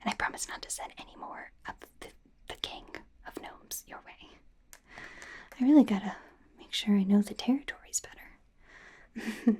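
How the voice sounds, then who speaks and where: A woman playing an awkward, eager werewolf trainee doctor in a soft, breathy, hesitant whisper for an ASMR roleplay. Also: medium pitch. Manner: hesitant, rambling, giggly, stammering.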